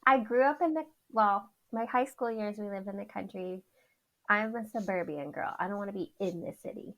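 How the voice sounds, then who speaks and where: A woman's voice: normal speed, a bit bright, low-quality recording. A woman, a chatty podcast guest who laughs often. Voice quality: says her voice is high. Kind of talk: casual interview chat.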